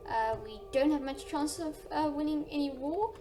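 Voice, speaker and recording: A woman's voice: high-pitched, normal speed. A woman playing a strategy game, thinking aloud and chatting casually to her viewers about her moves. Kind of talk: casual let's-play commentary. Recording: distant mic with loud game music. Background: game music.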